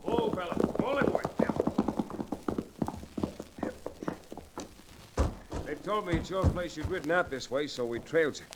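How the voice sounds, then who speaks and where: An actor playing a confident, wry young cowboy in an old-time radio western, with a folksy, self-assured manner. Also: male voice, fairly high pitch, wildly swooping pitch, fast. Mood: breezy. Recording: vintage radio recording, faint noise.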